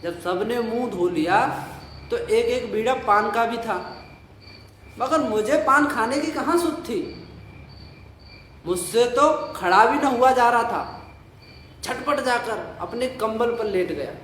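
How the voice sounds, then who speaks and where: An actor playing an old, poor village father in an audio play, nostalgic and boastful, savouring his drawn-out memories and grumbling. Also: very high-pitched, a bit bright, heavily acted. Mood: relishing. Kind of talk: Hindi audio play dialogue. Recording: roomy echo, faint noise, night crickets.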